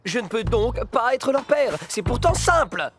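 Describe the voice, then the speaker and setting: A smug, boastful cartoon fox played by an actor, swinging dramatically up and down in pitch. The delivery is pompous and emphatic, with music playing clearly behind it. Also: sing-song, normal speed, close to an everyday voice. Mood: condescending. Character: boastful fox disguised as a dog, smug yet soft-hearted. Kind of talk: animated French cartoon dubbing.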